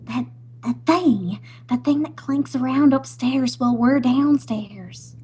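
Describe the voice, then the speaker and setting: A woman's voice: sits high, takes it slowly, darkish tone, slight background noise. A woman playing a nervous, easily spooked young wife in an old-time radio comedy, anxious and wryly pleading. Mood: uneasy, pleading.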